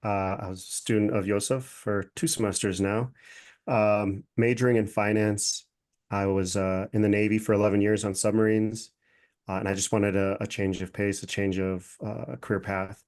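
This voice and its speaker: A man's voice: lowish voice, flat delivery, normal speed, darkish tone. A man, a finance student and former sailor, introducing himself plainly with a few uhs. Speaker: finance student, Navy veteran.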